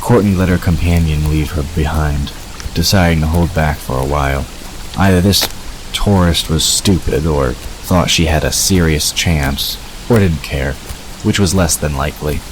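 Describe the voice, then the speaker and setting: A man's voice: rumbling low, normal speed. A man reading scripted story narration for an audio drama. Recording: noisy, rain-like hiss behind.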